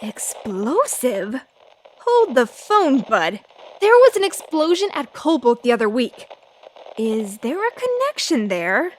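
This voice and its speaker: An actress playing a brash, tenacious 1930s newspaper reporter, feisty and full of snappy period slang. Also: female voice, high voice, huge pitch swings, slow, slight background noise, full character voice, rough recording. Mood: eager. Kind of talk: old-time radio drama acting.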